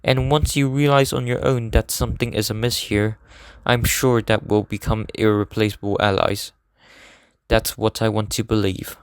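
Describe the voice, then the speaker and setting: A man acts as a serious teenage idol student in an anime fan dub. His voice is smooth and clear in a dry, close recording. He explains things earnestly, in formal, measured sentences. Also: lowish voice, level tone, no echo, close to an everyday voice. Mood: hopeful, determined. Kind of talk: scripted anime game fan dub acting.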